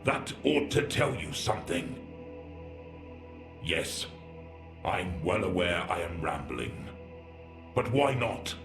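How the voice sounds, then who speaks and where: A man's voice: low-pitched, big pitch swings, takes it slowly, creaky, pauses often, exaggerated voice. A man playing an ancient, bitter warrior entombed in a war machine, speaking in grand, archaic, rambling monologue for a sci-fi audio drama. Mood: irritable. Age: older. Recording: echoing voice over background music.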